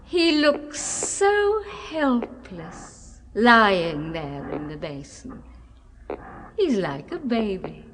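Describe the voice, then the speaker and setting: Reverberant noisy 1970s radio drama, doting British wife, genteel, unhurried, swooping intonation, quietly possessive. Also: female voice, mid-range voice, big pitch swings, full character voice. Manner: genteel, deliberate, cooing endearments. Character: softly doting, quietly possessive wife of middle age or older.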